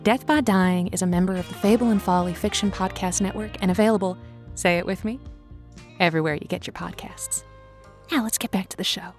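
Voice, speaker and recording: A woman's voice: medium pitch, fairly quick, faint music behind, close to an everyday voice. An actress playing a quirky, chatty woman who hosts a lonely-hearts radio show, wordy and playfully self-deprecating. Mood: chirpy, playful. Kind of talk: scripted fiction podcast, conversational radio-host patter.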